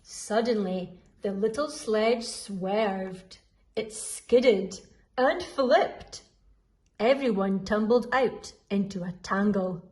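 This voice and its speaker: A woman reading a children's story aloud expressively, deliberately drawing out the dramatic sound words. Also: medium pitch, a little mellow. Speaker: school storyteller.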